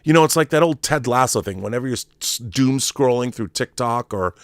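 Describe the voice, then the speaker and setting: A middle-aged American man talks in a very lively, swooping voice at a medium pitch, recorded dry. He rambles through an opinionated monologue, exasperated and emphatic, slipping into imagined dialogue and salty language. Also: brisk, dry recording. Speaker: online show host. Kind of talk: casual opinionated monologue rant.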